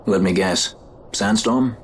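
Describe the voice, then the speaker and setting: An actor playing a sky pirate who talks in a brisk, dry way in a game cutscene. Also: male voice, lowish voice, slight background noise. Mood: wry. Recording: game cutscene with loud score.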